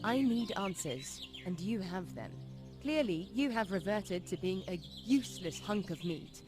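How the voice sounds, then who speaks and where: A woman playing an ancient noble vampire in a game, imperious and mocking, with a deliberate, sardonic delivery. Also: medium pitch, lively intonation, music in the background, natural voice. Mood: commanding. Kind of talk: dramatic RPG voice acting.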